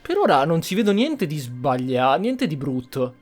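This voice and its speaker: A man's voice: fairly high pitch, sing-song, normal speed, soft background music. A man giving casual commentary as he plays through a video game. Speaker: gameplay streamer.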